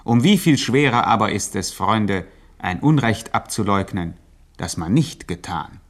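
A man narrating a scripted satirical radio play, deadpan and mock-learned. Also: lowish voice, measured pace. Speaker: second narrator.

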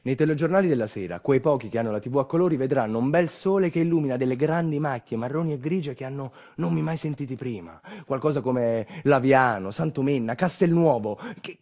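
A man's voice: mid-range voice, dark tone. A man performing a theatrical narrative monologue in a wry, rhetorical manner, with colloquial asides and refrains.

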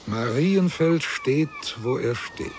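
A man narrating a literary radio drama in a deliberate, scripted manner. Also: a little high, animated, noisy background.

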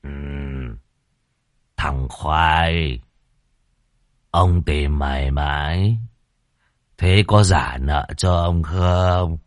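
A man doing a gruff, drawling greedy old landlord. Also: rumbling low, dry recording, clean studio sound, pitched below the performer's own voice, full character voice. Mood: menacing. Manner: slow, gruff, bullying drawl. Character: menacing greedy landlord, man in his fifties.

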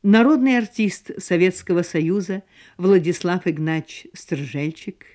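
A woman's voice: on the low side, expressive, a little slow, warm tone. A woman hosting a radio programme in formal, scripted narration. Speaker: radio host.

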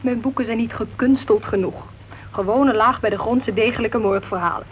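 An actress playing a blunt, teasing crime novelist in a radio play. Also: female voice, a little high, normal speed, natural voice. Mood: opinionated. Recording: dull, faintly noisy vintage radio recording.

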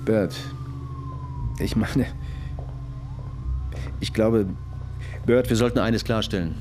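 A level-headed detective with a fairly low voice, speaking tersely and firmly. It is naturalistic radio crime drama, with noticeable ambience and traffic noise behind him. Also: male voice, slow, a little mellow. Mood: careful, concerned. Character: level-headed, firm male police detective.

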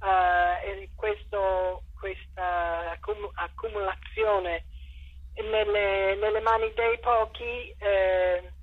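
A woman's voice: mid-range voice, steady tone, very slow, narrow, tinny audio. A woman answering interview questions, explaining ideas in an unscripted, hesitant way and searching for her words. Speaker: interviewed author and thinker.

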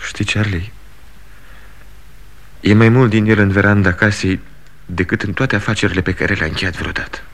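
An actor playing a disillusioned grown son in a 1960s radio drama, speaking with blunt resolve. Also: male voice, on the low side, steady tone, pauses often, a bit bright. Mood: bitter.

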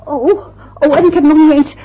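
A woman's voice: high voice, fairly even intonation, brisk, highs cut off, natural voice. An actress playing an anxious, fussy middle-aged mother in an old radio play, chatty and eager to please. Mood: fretful.

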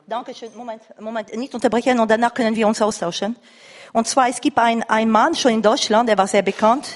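A woman from France with a foreign accent, speaking from the floor haltingly and insistently as she searches for words. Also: on the high side, steady tone. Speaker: audience member at a lecture. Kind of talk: spontaneous comment from the floor. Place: reverberant lecture room or hall. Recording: echoey hall recording of live talk.

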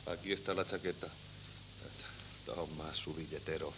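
Deep-voiced, wry middle-aged father. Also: steady tone, normal speed, background noise. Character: dry, resigned, affectionate father and husband. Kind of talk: 1970s radio drama acting. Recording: old cassette radio recording.

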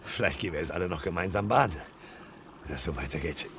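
A man's voice: low voice, animated, fast, gravelly, background noise. A man acting a hunted fireman on the run in a radio play, muttering agitatedly to himself, defiant, sarcastic and afraid.

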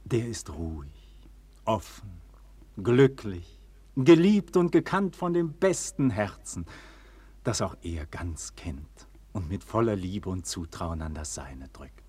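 A man's voice: low-pitched, big pitch swings, slow pace, husky, no echo, heavily acted. An actor playing an ardent, proud and weary nobleman and tender lover in a classic German radio play, with theatrical, declamatory delivery. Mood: tender, fervent. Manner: theatrical, declamatory, swelling and falling to hushed tenderness.

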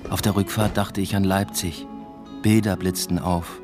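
Actor as brooding dad telling his story in a radio play, really low quiet introspective voice, music under it. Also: fairly even intonation, moderate pace, lightly acted. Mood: subdued, pensive. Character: brooding academic father narrating his own story. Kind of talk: radio drama first-person narration. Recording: music bed behind the voice.

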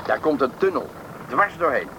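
High-pitched, helpful railway official. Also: male voice, big pitch swings, moderate pace. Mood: proud, explaining. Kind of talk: radio drama acting. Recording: clearly noisy outdoor radio play scene.

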